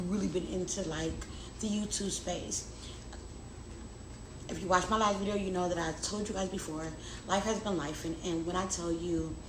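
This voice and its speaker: A young adult woman vlogger chatting casually and confidingly to her viewers, often repeating words for emphasis. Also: fairly low pitch. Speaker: lifestyle vlogger. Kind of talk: casual confiding chat to camera. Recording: roomy echo, clear background noise.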